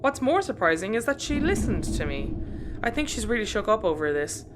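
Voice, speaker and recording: A woman's voice: medium pitch, big pitch swings, a little fast, background noise, lightly acted. A woman playing a sensible, harried spaceship captain, patient and matter-of-fact but exasperated, in an audio sitcom. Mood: patient, concerned.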